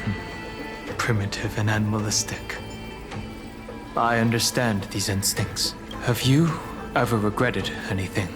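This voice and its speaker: A male actor plays a dragon-blooded immortal warrior in a fantasy video game. His voice is fairly low and his delivery very unhurried, grave and restrained, with clear music behind him. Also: fairly even intonation, lightly acted. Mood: grave, questioning. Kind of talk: dramatic video game voice acting. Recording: music under the voice.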